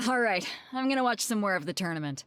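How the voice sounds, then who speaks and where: A woman's voice: mid-range voice, lively intonation, fast, a bit bright, smooth, no echo, lightly acted. An actress playing a bold, confident woman ship captain in a video game, brash and teasing.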